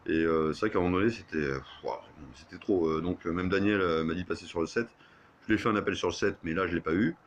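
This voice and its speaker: A man chatting casually as an amateur radio operator, excitable and slangy. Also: low-pitched, fast.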